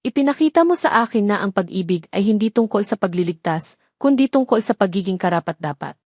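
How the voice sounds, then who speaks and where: A woman's voice: medium pitch, animated, a little fast, a little mellow, dry recording, natural voice. An earnest man in a romantic audio drama, acted out by a woman. He is remorseful and in love, speaking sincerely. The sound is close and dry. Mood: pleading, heartfelt.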